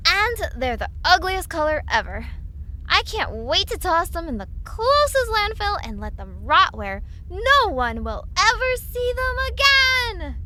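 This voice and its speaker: A woman playing a worried, snarky, protective young woman in an audio drama, expressive and sarcastic. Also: very high-pitched, a little slow, background noise, heavily acted. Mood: exasperated, sarcastic. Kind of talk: audio drama character acting.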